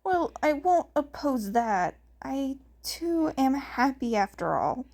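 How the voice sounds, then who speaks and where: A woman's voice: high-pitched, big pitch swings, takes it slowly, vocal fry, a little mellow, heavily acted. A woman reading the part of a casual, teasing young boy twin in a story.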